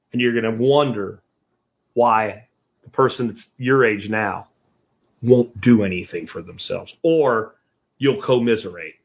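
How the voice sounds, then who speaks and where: A man's voice: mid-range voice, dull audio. A man in his fifties giving a blunt, profane motivational talk as a podcast host, lecturing his listeners. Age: 50s.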